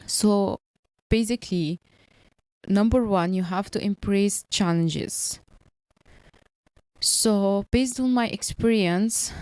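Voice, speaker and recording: A woman's voice: mid-range voice, a little mellow, no room sound. A woman in her early thirties, a non-native English speaker, giving an earnest motivational talk and repeating her points. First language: not English. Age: early 30s. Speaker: motivational vlogger.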